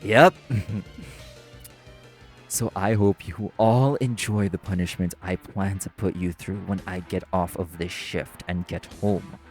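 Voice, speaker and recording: An actor playing a primly formal, sarcastic, exasperated waiter in an audio roleplay. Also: male voice, low-pitched, fairly even intonation, slow, soft background music. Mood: sarcastic, vengeful amusement.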